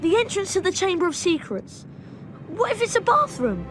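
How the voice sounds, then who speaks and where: Nervy boy sidekick, very high animated voice, blurting, video game, music behind. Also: normal speed, full character voice. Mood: puzzled. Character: anxious, sceptical schoolboy sidekick wizard, about twelve.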